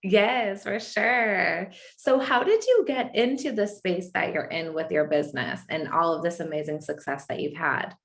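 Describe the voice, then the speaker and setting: A woman's voice: fairly low pitch, expressive, normal speed, gravelly, few pauses. A woman hosting a podcast, introducing and interviewing a guest with effusive enthusiasm. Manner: effusive, enthusiastic, repeats "amazing". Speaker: podcast host.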